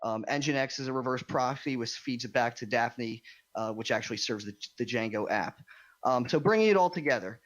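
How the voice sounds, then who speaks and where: A man's voice: medium pitch, normal speed, a bit bright, rough recording. An American man presenting technical slides in an informal, explanatory way, as a speaker at a conference.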